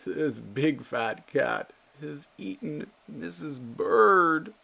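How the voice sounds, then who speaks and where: A man voicing an eager male bird husband from a children's storybook, earnest and expressive. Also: on the high side, very slow, vocal fry, breathy edge, voice pushed up, exaggerated voice. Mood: upset.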